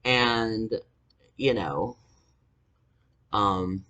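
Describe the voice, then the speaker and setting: A man in his forties telling a personal story to camera, halting with fillers and angry swearing. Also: on the low side, bright, forceful tone. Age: 40s. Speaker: vlogger. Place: indoors, dim room.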